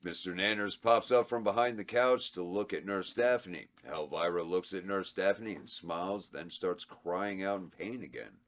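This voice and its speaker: Man, deep voice, reading stage directions, laid-back, chuckling. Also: normal speed, few pauses, a bit bright. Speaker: script reader and cast member.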